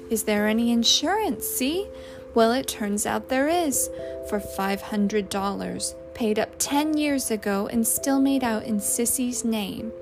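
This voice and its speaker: A woman voicing an indignant, blustering working-class husband in an audiobook story, with slangy, emphatic speech. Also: fairly high pitch. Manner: uneducated slangy speech, punctuates with "see?".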